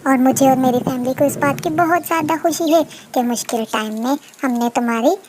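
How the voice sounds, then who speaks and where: A woman's voice: high-pitched, a little fast, background noise, close to an everyday voice. A woman voicing a kindly, moralising queen ant in a cartoon, earnest and a little preachy.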